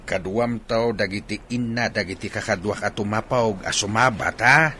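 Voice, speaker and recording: Humble ilocano fisherman dad in a radio drama, deep voice, clear noise and ambience behind. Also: normal speed, pushed and bright. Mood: affectionate, hopeful. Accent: Ilocano Filipino. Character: warm, humble fisherman father heading out to sea.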